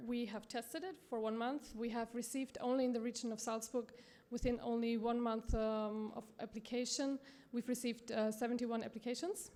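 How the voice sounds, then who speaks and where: A woman from Austria with an Austrian accent, giving a conference presentation in a halting way with many fillers and self-corrections. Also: on the high side, a little mellow. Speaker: conference speaker from a promotional bank.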